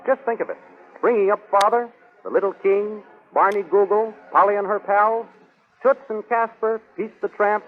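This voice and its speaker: A man's voice: sits high, fairly even intonation, normal speed, soft background music. A man narrating a 1930s radio serial as announcer, in a dramatic, declamatory showman's manner. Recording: tinny 1930s radio recording.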